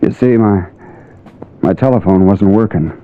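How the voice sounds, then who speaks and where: An actor playing a controlled, solemn deep-sea diver explaining himself in a 1950s radio drama. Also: male voice, a little slow, very noisy. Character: diver explaining a partner's death, controlled, smooth. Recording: muffled old radio recording.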